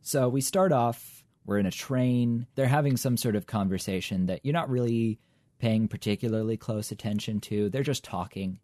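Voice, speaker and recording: A man's voice: medium pitch, normal speed, mellow, dry recording. A young man co-hosting a podcast, talking in a casual, articulate way with a dry wit. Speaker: podcast co-host. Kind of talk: casual review chat.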